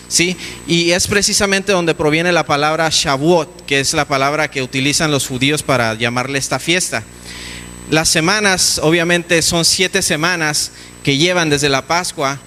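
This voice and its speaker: A man from Mexico, preaching a teaching sermon in a church, often pausing with fillers and restarts. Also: a little high, bright, forceful tone. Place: church hall. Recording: echoey church room with faint noise.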